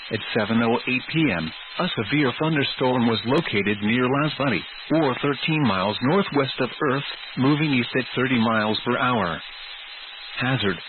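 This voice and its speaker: A man with a formal, measured, synthetic-sounding delivery reads a scripted emergency weather bulletin over the radio. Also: medium pitch. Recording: tinny, noisy weather radio broadcast.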